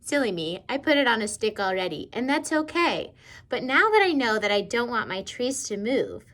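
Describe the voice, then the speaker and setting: A woman's voice: fairly high pitch, very animated, moderate pace, a bit bright. A woman giving a step-by-step how-to demonstration for children, talking simply like a teacher and often checking in with little questions. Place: indoors at home.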